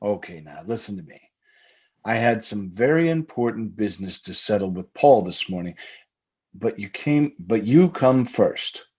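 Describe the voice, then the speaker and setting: A man's voice: fairly low pitch, lively intonation, normal speed, darkish tone, slightly rough. A man plays a solicitous husband in an old-time radio thriller. He soothes and patronizes with swooping intonation and an undercurrent of menace. The sound is slightly dull and lo-fi. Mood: quietly menacing.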